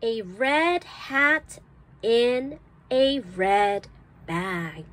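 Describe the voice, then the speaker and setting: A woman reading an early phonics book aloud for children as a teacher, enunciating each word deliberately and carefully. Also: on the high side, expressive, fairly bright tone. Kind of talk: slow read-aloud of an early reader for children.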